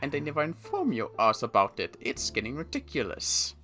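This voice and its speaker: Man as a bright-voiced, grumbling camp guard. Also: mid-range voice, huge pitch swings, brisk, soft background music. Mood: deferential, grumbling. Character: exasperated, dutiful male camp guard in a zombie apocalypse. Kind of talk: improvised video game roleplay.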